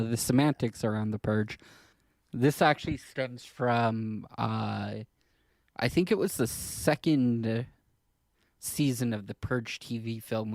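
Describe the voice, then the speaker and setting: Guy on a podcast, lots of vocal fry, kinda halting and hesitant, really dry sound, chatting casually. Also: medium pitch, a little mellow, no echo. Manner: hesitant, halting delivery with trailing clauses. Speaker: podcast co-host.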